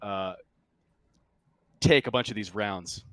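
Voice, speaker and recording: A man's voice: fairly low pitch, slow pace, fairly bright tone, smooth, dry recording. A man narrating a tabletop roleplaying game as game master, in a casual, improvised way.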